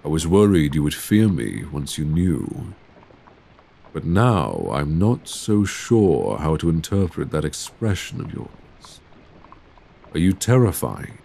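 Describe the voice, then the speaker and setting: Give this man acting as a young Victorian vampire a very low, breathy voice. He is courtly and remorseful, choosing formal, old-fashioned words in measured phrases. It is intimate ASMR drama, spoken close to a binaural mic. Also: a little mellow, full character voice. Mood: wary, apologetic. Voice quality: very deep, breathy, husky. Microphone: close binaural microphone.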